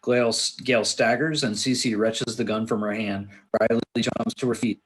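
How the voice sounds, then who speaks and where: A man's voice: fairly low pitch, fairly even intonation, a little slow, rough recording. Man casually reading screenplay action. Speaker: table read narrator and actor.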